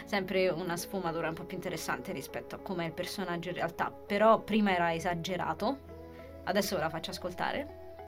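A woman talking casually, presenting her own dubbing work. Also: medium pitch. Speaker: amateur dubber presenting past work. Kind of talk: casual vlog talk.

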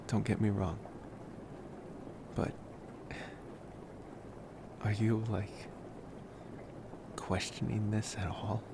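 A man playing a gentle, wistful college vampire boyfriend in an intimate ASMR roleplay, rambling hesitantly in a soft-spoken way. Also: on the low side, creaky, noisy background. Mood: tender, curious.

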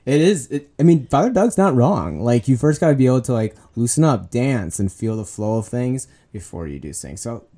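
A man's voice: medium pitch, expressive, normal speed, few pauses, warm tone. A man co-hosting a podcast, chatting agreeably with many fillers. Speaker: podcast co-host. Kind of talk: casual chat.

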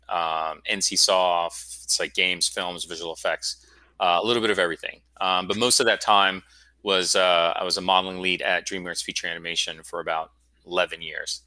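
A man's voice: deep voice, bright, forceful tone, clean tone, dry recording, low-quality recording. A man in his forties from Florida, a guest telling his story in a casual, rambling interview. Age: 40s. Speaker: guest, school founder.

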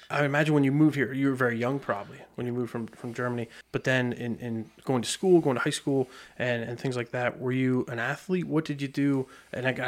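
A man with an American accent hosting a casual interview podcast in a studio. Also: mid-range voice. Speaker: podcast host.

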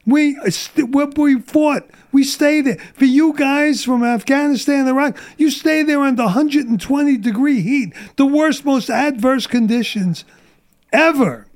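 A middle-aged man with a high voice rants in a casual podcast chat, blunt and profane. His voice is wearing out and getting strained. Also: measured pace. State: losing his voice. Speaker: guest.

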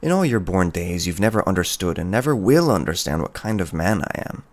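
A man voicing a proud, indifferent peasant hunter in a storybook, gruff, dismissive and boastful. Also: low voice, very animated, fairly quick.

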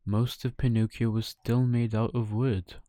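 A man narrates a bedtime story for children. His delivery is slow and his tone is mellow, recorded very dry. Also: mid-range voice, little pitch movement, dry recording.

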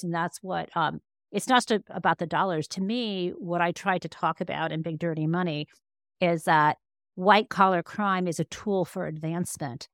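Low-pitched woman explaining in conversation. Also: a bit bright, no echo, clean studio sound. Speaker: interview guest, law professor and author. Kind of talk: conversational explanatory interview answers.

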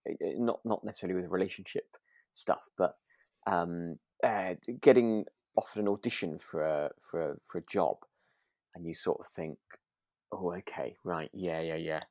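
A British man co-hosting a podcast in relaxed, casual chat, speaking tentatively and hedging as he goes. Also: low voice, moderate pace, no room sound, studio quality. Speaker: podcast co-host.

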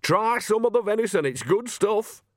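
A man's voice: sits high, lively intonation, normal speed, no room sound, studio quality, voice pushed up. A man voicing an earthy, shrewd old woman witch with a blunt, folksy, teasing manner in an audiobook. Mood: brisk teasing.

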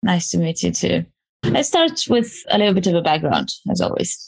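A woman hosts a podcast with a fairly low voice, opening with a read welcome before slipping into relaxed chat. She is friendly and quick to laugh along with her guest. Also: gravelly, husky. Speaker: podcast host. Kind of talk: scripted intro, then casual interview chat.

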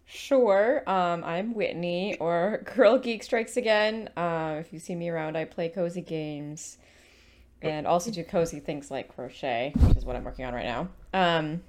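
A young woman from Washington state co-hosting a stream in casual chat, soft-spoken and hesitant, giggling between her words. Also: lowish voice, measured pace, slight background noise. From Washington state, USA. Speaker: stream co-host.

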